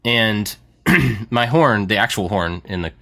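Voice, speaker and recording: Low-voiced, enthusiastic male podcast host. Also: lively intonation, slow pace, gravelly, fairly bright tone. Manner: enthusiastic, self-deprecating, laughs often. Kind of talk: casual chat.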